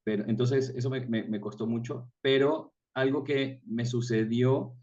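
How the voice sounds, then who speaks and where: A man's voice: medium pitch, fairly even intonation, unhurried, a little mellow. A middle-aged man with an Ecuadorian accent talks casually as an interview guest, explaining with examples. His voice sits away from the microphone and sounds a little dull. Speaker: interview guest, tour guide. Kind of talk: casual interview, personal anecdotes. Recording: distant mic, slightly muffled sound.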